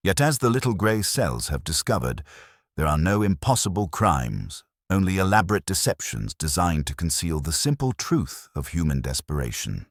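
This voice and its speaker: Male narrator as courteous Belgian detective, very low pitch, animated intonation, measured, certain, theatrical, audiobook mystery. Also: dry recording, studio quality. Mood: formal, assured. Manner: formal, measured, theatrical deduction.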